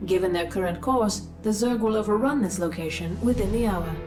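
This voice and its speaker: A woman's voice: medium pitch, a little fast, close to an everyday voice. Distant female computer adjutant, acted by a woman. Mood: calm alert. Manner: precise, formal. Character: calm, efficient female military computer adjutant. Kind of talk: video game voice acting. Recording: distant voice under music.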